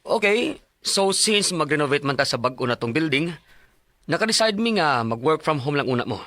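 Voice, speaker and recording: A man's voice: on the high side, big pitch swings, a bit bright, dry recording, soft background music. An actor playing a breezy, demanding male company boss in a Bisaya radio drama, with a Cebuano accent. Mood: breezy, welcoming. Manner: brisk, bossy, casually dismissive.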